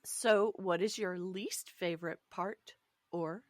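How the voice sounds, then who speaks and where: A woman's voice: lowish voice, fairly even intonation, slow, no room sound, studio quality. A woman co-hosting a casual podcast discussion about stories, with a wry, playful and articulate way of talking. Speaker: podcast co-host.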